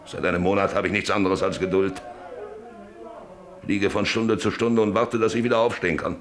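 An actor playing a bitter, bedridden middle-aged father in a radio drama, brooding and halting, repeating his phrases. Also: low-pitched, steady tone, close to an everyday voice. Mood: bitter, agitated. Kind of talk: German radio drama acting. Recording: hissy old radio drama recording.

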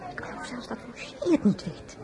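An actress playing a flirtatious, frivolous princess in a radio drama, gushing, giggly and theatrical. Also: female voice, brisk, crowd noise, natural voice. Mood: conspiratorial.

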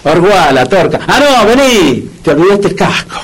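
An actor playing a whiny, capricious, easily flustered dog owner in a comedic radio play, with a Rioplatense Argentine accent and colloquial, exclamatory speech. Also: male voice, high voice, very animated, normal speed, heavily acted, poor audio. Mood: pleading.

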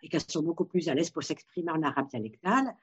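A woman from Morocco, a therapist, answering interview questions in a thoughtful, halting way with many restarts. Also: low-pitched, animated, breathy edge. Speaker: Gestalt therapist. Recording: dull lo-fi sound.

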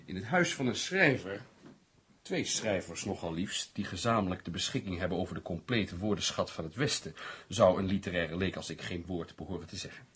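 Boozy teasing newspaper guy in a radio play, deep-ish raspy voice, pompous flowery talk. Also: expressive, fairly quick, a bit bright, exaggerated voice. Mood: jovial, needling. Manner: pompous, mock-poetic. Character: florid, teasing, hard-drinking newspaperman friend.

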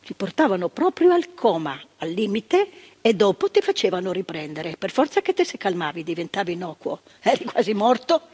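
An actress playing an older woman who once worked in a psychiatric hospital, telling her memories, dropping to hushed tones and rising to emphatic outbursts. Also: medium pitch, very animated, a little fast, husky. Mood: indignant recollection. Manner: vivid storytelling, swinging from hushed to emphatic outbursts. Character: older woman, former asylum ward worker, indignant. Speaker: monologue performer. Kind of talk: stage monologue.

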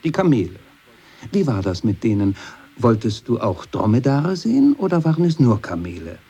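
A man's voice: medium pitch, huge pitch swings, moderate pace, dark tone, slightly rough, full character voice. A man playing a pedantic psychologist in a radio drama, patronising and methodical. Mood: pedantic, insistent. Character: pedantic male psychologist missing a child's distress.

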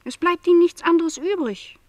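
Young, resigned housemaid, close and dry. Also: female voice, extremely high pitch, moderate pace, darkish tone, dry recording. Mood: bitter, insistent. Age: young adult. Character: young housemaid, lonely, stubbornly resigned, quietly bitter. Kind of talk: radio play dialogue.

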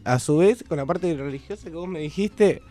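A man's voice: a little high, normal speed, warm tone. A man with a Rioplatense Spanish accent chatting as a radio guest, hesitant and thinking aloud. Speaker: interview guest. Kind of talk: casual radio conversation.

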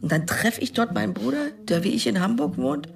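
An actress playing a plain-spoken, rueful middle-aged mother in a radio crime drama, with a husky, halting voice. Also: fairly high pitch, animated, a crowd in the background. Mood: shaken. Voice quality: husky, breathy, gravelly. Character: plain-spoken working-class mother, rueful about her brother.

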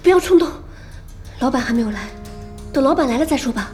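A loyal young woman in an audio drama. Her high voice is earnest and anxious, pleading over soft background music. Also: expressive, fast.

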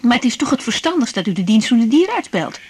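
An actress playing a young, patient and businesslike doctor on night duty, in a naturalistic Dutch radio drama from the eighties. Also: female voice, on the high side, big pitch swings, fast, darkish tone, husky, full character voice. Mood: polite, surprised. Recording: noisy 1980s radio drama recording.

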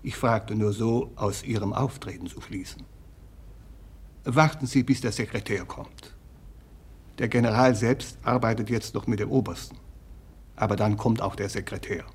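Husky, officious manservant. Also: male voice, fairly low pitch. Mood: puzzled, wary. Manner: formal, officious, hesitant. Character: wary, stiff manservant, suspicious and correct. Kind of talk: 1950s German radio play acting.

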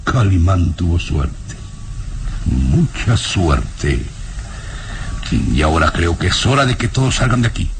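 A man playing a proud, sinister nobleman and father in a radio serial, speaking in a haughty, menacing, deliberate way. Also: sits low, very animated, background noise. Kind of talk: Spanish-language radio serial acting.

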